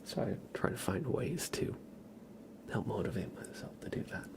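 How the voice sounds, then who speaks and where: Male ASMR live streamer, whispery and breathy with vocal fry, rambling and conversational, light background noise. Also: lowish voice, wildly swooping pitch, normal speed. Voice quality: soft breathy whisper, often unvoiced. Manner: rambling, conversational, addresses chat by name. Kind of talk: ASMR, whispered casual chat with viewers.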